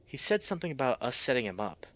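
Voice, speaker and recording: An anxious, loving male bear, acted out by a man reading a visual novel. He pleads with emotional strain, and his pitch moves around a lot. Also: big pitch swings, fast, fairly bright tone, dry recording, lo-fi sound. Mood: shaken. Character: big male bear, anxious, caring boyfriend.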